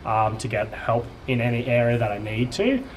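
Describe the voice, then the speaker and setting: A man's voice: medium pitch, a little fast, a little hiss or hum, low-quality recording. A young Australian man, a primary teacher from New South Wales, talking casually to camera in a classroom with plenty of ums. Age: young adult. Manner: relaxed, with frequent ums and uhs. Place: classroom with space posters.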